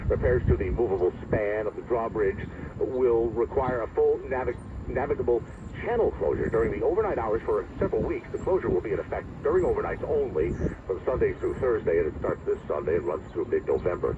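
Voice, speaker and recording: A man's voice: on the low side, expressive, fairly quick. A man working as a radio news anchor, reading scripted news over AM radio. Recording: tinny, very noisy AM radio reception, distant.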